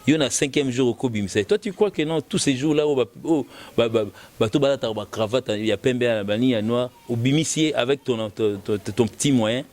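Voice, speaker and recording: A man's voice: mid-range voice, brisk, few pauses, darkish tone. A man with a Congolese accent talking casually and giving opinions, often repeating words as the argument builds. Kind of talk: casual opinionated talk mixing French and Lingala.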